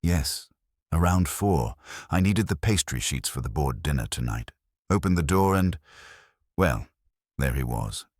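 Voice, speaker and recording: No-nonsense woman office manager, fiftyish, read by a man: curt, practical, husky, animated. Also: very deep voice, a little slow, no echo, studio quality, full character voice. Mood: matter-of-fact. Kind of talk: audiobook character voice.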